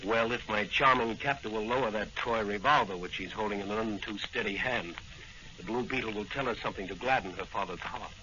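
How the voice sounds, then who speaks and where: A man's voice: fairly low pitch, a little fast, dull audio, close to an everyday voice. A man playing a suave, confident crime-fighting hero with a gallant, declamatory delivery in 1940s radio serial style. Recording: noisy, band-limited old radio recording.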